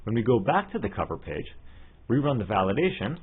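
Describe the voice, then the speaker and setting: A man narrating a scripted software tutorial as an instructor, in a methodical, step-by-step way. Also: mid-range voice, big pitch swings, a little fast, breathy edge. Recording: muffled, phone-like narrow-band audio.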